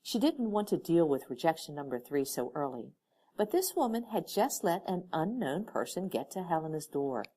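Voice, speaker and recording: A woman reading an audiobook story aloud in expressive scripted narration. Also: on the low side, moderate pace, rough recording. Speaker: audiobook reader.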